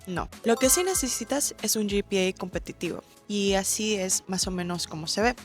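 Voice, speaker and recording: Young woman giving advice. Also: medium pitch. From Ecuador. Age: young adult. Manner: friendly, direct, mixes in English words. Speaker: vlogger. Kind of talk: advice vlog to camera.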